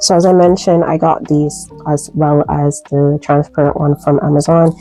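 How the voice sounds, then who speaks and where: A woman from Barbados with a softened Barbadian accent, chatting casually through a soap-making tutorial with lots of fillers. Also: sits low, moderate pace, soft background music, poor audio. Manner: relaxed, chatty, many fillers, light laughs.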